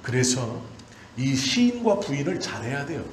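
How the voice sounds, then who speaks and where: A man's voice: mid-range voice, very animated, normal speed. A man in his forties, a pastor delivering a sermon in emphatic, repeated short phrases. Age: 40s. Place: church hall during a service. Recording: roomy church echo, faint background noise.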